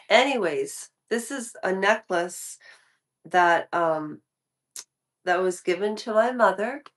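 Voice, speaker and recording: Slow, rambling woman in her fifties. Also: fairly low pitch. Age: 50s. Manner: rambling, restarts and trails off mid-thought. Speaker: YouTube commentary creator. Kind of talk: casual vlog talk to viewers.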